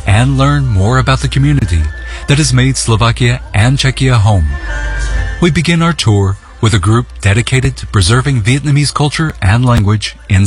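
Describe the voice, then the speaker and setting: A man reporting a news feature and interviewing people at an outdoor festival. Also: mid-range voice.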